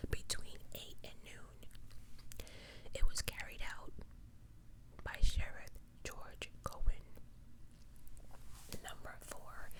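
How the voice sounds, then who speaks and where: ASMR host, pure soft whisper throughout, true-crime narration broken up by casual slangy commentary. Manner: casual, slangy asides between read passages.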